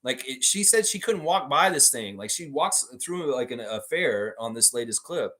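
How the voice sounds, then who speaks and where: Casting note: male live-stream sports host, chatty and animated, jumps high and loud when joking. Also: normal speed. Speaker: sports podcast host reading live chat. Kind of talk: casual live-stream chat.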